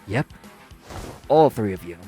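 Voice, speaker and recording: A man acts out an exasperated waiter in an audio roleplay, his voice pitched on the low side. He speaks with prim, formal politeness that carries a sarcastic edge. Music plays faintly behind him. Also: expressive, measured pace, mellow. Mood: sarcastic, vengeful amusement. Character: exasperated, primly formal young waiter teased by partners.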